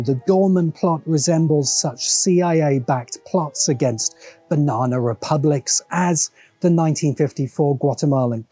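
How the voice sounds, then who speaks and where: A British man narrating a scripted video essay in a measured, emphatic, deliberate way. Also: a little high, mellow. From Britain. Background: background music.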